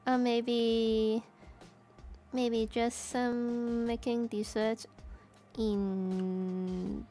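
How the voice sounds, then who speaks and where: Slow-paced Hong Kong-accented woman streamer. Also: on the high side, level tone, mellow, soft background music. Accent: Hong Kong Cantonese. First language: Cantonese. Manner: halting, searching for words, frequent restarts. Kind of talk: casual chat while drawing.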